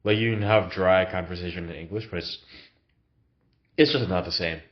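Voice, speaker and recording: A man's voice: deep voice, normal speed, highs cut off. A man with a cough, recording a casual, reflective vlog in his new apartment, talking hesitantly with many fillers. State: has a cough. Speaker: vlogger.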